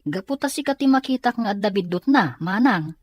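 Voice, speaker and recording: A woman's voice: medium pitch, animated, brisk, slightly rough, no room sound. A woman playing an earnest schoolgirl younger sister and peacemaker in an Ilocano audio drama. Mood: reproachful. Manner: pleading, reproachful.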